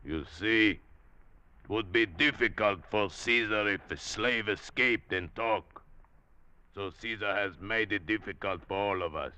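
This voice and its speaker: An actor playing a huge scarred gladiator slave in a radio drama, grim and menacing, speaking blunt broken English. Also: male voice, lowish voice, measured pace, pushed and bright, clear, resonant tone, dry recording. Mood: grave warning. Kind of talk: old-time radio drama acting.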